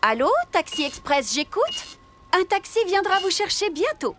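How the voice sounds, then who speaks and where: A woman's voice: very high-pitched, sing-song, background noise. A woman voicing a talkative female rabbit taxi driver in a children's cartoon dub. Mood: busy, chatty. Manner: talkative, rambling, brisk phone greeting. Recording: music bed behind voice.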